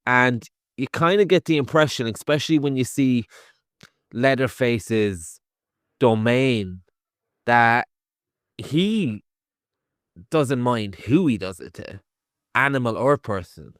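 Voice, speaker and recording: A man's voice: mid-range voice, animated, slow, long pauses, a bit bright, no room sound, studio quality. A man with an Irish accent, a podcast co-host giving a casual, conversational film review and thinking aloud as he goes. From Ireland.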